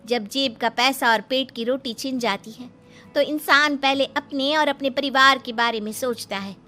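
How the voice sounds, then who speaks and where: Cartoon role for an actress: plucky rustic daughter-in-law, very high bright voice, emphatic and practical. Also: female voice, normal speed. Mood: determined.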